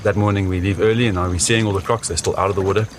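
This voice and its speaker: A man recounting his expedition experiences in spontaneous, halting first-person storytelling, with vivid phrasing and words repeated for effect. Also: low voice, fairly even intonation. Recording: clear water and outdoor noise behind. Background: water and outdoor ambience.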